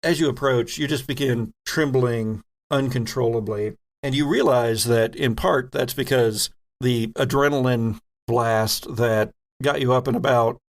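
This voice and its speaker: A man narrating a tabletop horror role-playing game as game master, setting scenes deliberately with pauses mid-phrase. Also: mid-range voice.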